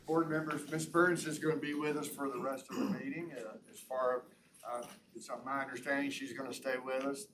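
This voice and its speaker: A man chairing a formal board meeting in a relaxed way, with some fillers, introducing speakers. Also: medium pitch, a little fast, crowd noise. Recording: distant mic with clear clicks.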